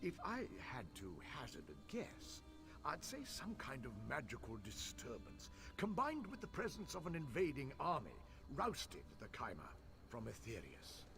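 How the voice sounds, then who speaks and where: An actor playing a scholarly, impatient fantasy-game mage who muses pedantically and talks down to people. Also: male voice, mid-range voice, huge pitch swings, normal speed, music in the background. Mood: speculating. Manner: pedantic, musing, condescending. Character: scholarly elf mage, fascinated by spirits, impatient. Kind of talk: video game character acting.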